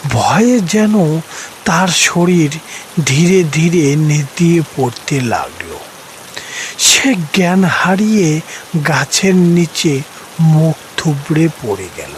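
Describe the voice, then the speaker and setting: A man's voice: a little high, slight background noise, low-quality recording. A man narrating a scripted horror story as a dramatic storyteller, with rain behind him.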